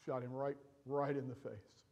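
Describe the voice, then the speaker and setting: An older man preaching from a church stage, telling a personal story with pauses and feeling. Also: medium pitch, little pitch movement, darkish tone. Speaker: pastor. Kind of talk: sermon storytelling.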